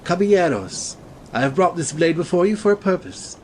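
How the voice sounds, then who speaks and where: An actor playing a suave, languid gentleman swordsman in a dramatized audiobook, speaking in a courtly, formal way. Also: high-pitched, expressive, moderate pace, slight background noise. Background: ambient sea sound bed.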